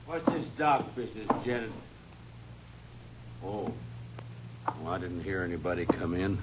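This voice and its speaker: A man's voice: low-pitched, expressive, measured pace. An actor playing a shifty male accomplice in a vintage radio drama, with a folksy, hesitant drawl. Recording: muffled vintage radio with faint hiss.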